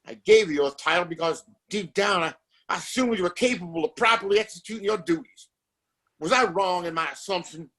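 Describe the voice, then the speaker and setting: Casting: male actor as scheming Western patriarch, higher-voiced, formal, commanding and contemptuous, for an audio drama. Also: fairly quick, bright, forceful tone. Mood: scolding. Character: domineering, contemptuous father and scheming town boss.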